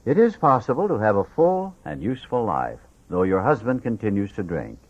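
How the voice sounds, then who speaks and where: Low-voiced man reading aloud, very animated pitch. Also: moderate pace. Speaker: audiobook reader. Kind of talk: scripted read-aloud narration. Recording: dull, lo-fi audio.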